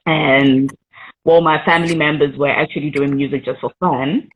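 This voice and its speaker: A woman's voice: low voice, steady tone, normal speed, a little hiss or hum, poor audio. A young South African woman, a singer, chatting casually about herself in an interview and laughing easily. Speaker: guest singer.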